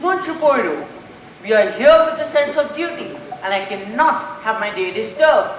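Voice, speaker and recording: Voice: mid-range voice, animated, normal speed, a little hiss or hum, lightly acted. A young student actor playing a haughty, vengeful aristocratic lady, imperious and emphatic in a formal way, in a school stage play. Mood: indignant, haughty. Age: teenager. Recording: very echoey stage recording with music.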